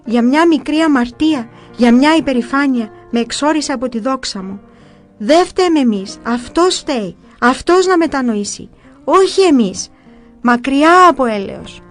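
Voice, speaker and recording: A tormented, blasphemous demon acted out by a woman narrator in a spoken story. The voice rises high and forceful, scolding and repeating itself in exclamations. Faint music sits underneath. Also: lively intonation. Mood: defiant, bitter. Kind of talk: dramatized storytelling.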